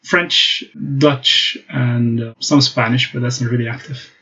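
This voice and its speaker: Flat-voiced German man, hesitant. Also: medium pitch, unhurried, slight background noise. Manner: hesitant, many fillers and restarts. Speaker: guest. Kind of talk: casual interview talk. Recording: dull lo-fi podcast audio.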